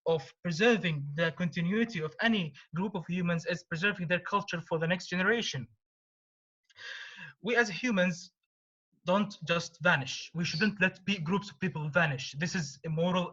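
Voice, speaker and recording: High, bright-voiced man with an Arabic accent. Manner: earnest, argumentative. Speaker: debater. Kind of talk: competitive debate speech. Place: online debate call. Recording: dull lo-fi online call audio.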